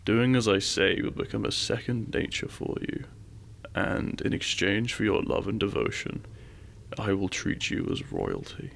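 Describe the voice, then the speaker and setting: A man playing an imperious, possessive dragon king in a romantic fantasy audio roleplay, speaking with formal, commanding courtesy and a gravelly voice. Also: lowish voice, fairly bright tone, slight background noise. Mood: commanding, threatening. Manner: formal, commanding, deliberate menace with seductive courtesy.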